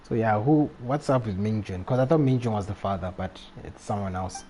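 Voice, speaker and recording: A man live streaming a video game, reading text aloud and commenting casually in a halting way. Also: fairly low pitch, dark tone. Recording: faint background noise, game ambience.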